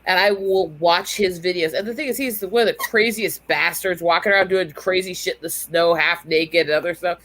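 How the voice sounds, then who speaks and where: Emphatic American woman with a lowish voice. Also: fairly even intonation, a little fast, hardly any pauses, fairly bright tone, a little hiss or hum, poor audio. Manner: emphatic, freely swearing. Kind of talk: casual chat.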